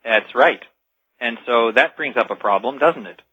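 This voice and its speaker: A man's voice: mid-range voice, moderate pace, slight background noise. A man speaking as a radio show guest, explaining things earnestly in an interview. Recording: slightly dull lo-fi radio call audio.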